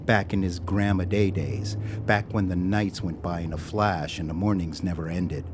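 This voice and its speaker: An actor as the narrator of an audio drama, telling a scripted story in a wry, sardonic way. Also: male voice, deep voice, steady tone, normal speed, noisy background, close to an everyday voice. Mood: wry, measured.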